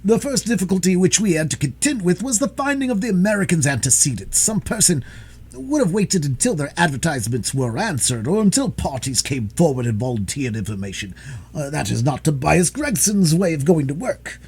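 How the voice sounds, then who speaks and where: Guy doing a boastful pompous detective from a storybook, high excitable voice, really breathy rough. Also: a little fast, heavily acted. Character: pompous, self-satisfied male police detective, boastful and excitable.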